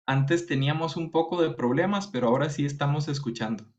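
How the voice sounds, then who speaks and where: Man, academic moderator, formal and steady reading; lo-fi video call sound. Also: fairly high pitch, a little fast. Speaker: event moderator. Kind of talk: formal reading of a speaker introduction. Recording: dull lo-fi video call audio.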